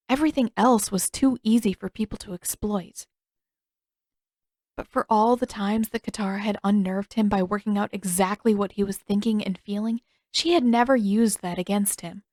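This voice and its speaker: A woman's voice: medium pitch, a little mellow, dry recording. Woman narrating fiction, very dry sound. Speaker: audiobook narrator.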